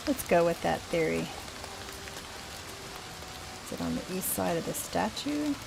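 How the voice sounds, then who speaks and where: An older woman with a scratchy voice, slowly thinking aloud as she plays a video game. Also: lowish voice, creaky. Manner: thinking aloud, slow and musing. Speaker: gaming channel host. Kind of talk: casual gameplay commentary. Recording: steady rain noise behind. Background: rain.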